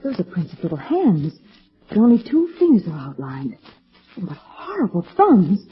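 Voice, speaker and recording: Old radio drama edgy excitable lady, very animated voice, proper and dramatic, muffled sound. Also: medium pitch, moderate pace, slightly rough, exaggerated voice. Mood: anxious. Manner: dramatic, anxious, well-spoken. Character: nervous, excitable refined young lady, sleuth's companion. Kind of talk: 1940s radio acting. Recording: dull old radio recording.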